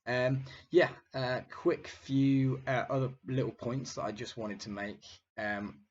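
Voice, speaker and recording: A young man hosting a casual finance video, his voice croaky from illness, talking hesitantly with many fillers. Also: medium pitch, steady tone, a little slow. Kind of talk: casual market commentary. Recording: dull lo-fi sound, faint noise.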